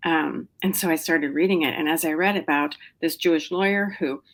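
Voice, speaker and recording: A middle-aged woman speaks with a low, easy voice as she answers an interviewer's questions about her writing. She talks casually and chattily, with frequent ums and restarts. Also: moderate pace. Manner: chatty, many fillers and restarts. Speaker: novelist guest.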